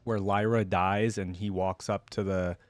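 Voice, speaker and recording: A man's voice: sits low, flat delivery, measured pace, no room sound, soft background music. A man hosting a reaction video with casual, chatty commentary, thinking aloud as he goes.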